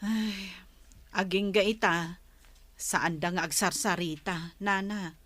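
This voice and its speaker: A woman's voice: lowish voice, steady tone, takes it slowly, fairly bright tone, no echo. A woman playing a weary, patient village mother who pleads with and admonishes her children, in an Ilocano-accented radio drama. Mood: resigned, troubled. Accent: Ilocano, northern Philippines.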